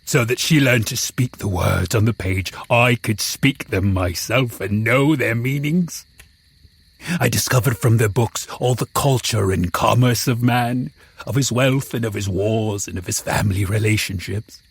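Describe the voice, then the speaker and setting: An actor playing a lonely, eloquent man-made creature, telling his tale in a solemn, brooding audio drama voice with a gravelly, husky edge. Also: on the low side, measured pace. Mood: anguished, brooding. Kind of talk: dramatic first-person audio drama narration.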